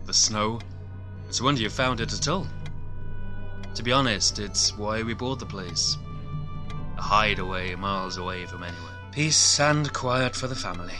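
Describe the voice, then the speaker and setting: A man's voice: lowish voice, moderate pace, music in the background, lightly acted. An actor playing an anxious, eager-to-please husband in an audio drama, hesitant, earnest and devoted to his troubled wife. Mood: nervous, welcoming.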